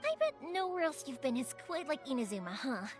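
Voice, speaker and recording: A kind-hearted young fireworks maker, with a high, girlish voice. She is earnest and cheerful, and her pitch moves around in an animated video game style. Music plays softly behind her. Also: brisk. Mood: concerned. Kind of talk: video game character acting.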